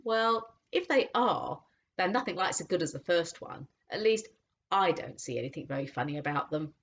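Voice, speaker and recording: A woman's voice: lowish voice, lively intonation, normal speed, fairly bright tone. A woman voicing a lazy, aloof panther who speaks dryly and dismissively, in a dull lo-fi recording. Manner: dry and dismissive.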